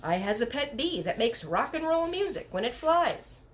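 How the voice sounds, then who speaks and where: An excitable little boy from a storybook, acted by a woman. He speaks in childlike broken grammar, exclaiming in a high voice that swings widely. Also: moderate pace. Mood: proud. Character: excitable little boy telling fanciful dreams. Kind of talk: storybook read-aloud character voice.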